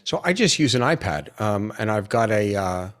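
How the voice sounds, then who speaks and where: A man's voice: on the low side, big pitch swings, normal speed. A man on an online panel casually walking through his own technical setup.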